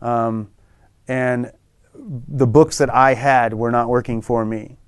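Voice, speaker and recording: A middle-aged man talking in a relaxed, rambling way, often restarting his sentences, as a guest in an interview-style lesson. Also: mid-range voice, slow, clear, resonant tone, no echo, clean studio sound. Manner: relaxed, rambling, self-correcting. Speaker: guest in a language lesson show. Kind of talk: casual interview chat.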